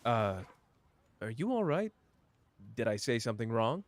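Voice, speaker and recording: A man doing a slow, flirtatious charmer. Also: mid-range voice, sing-song.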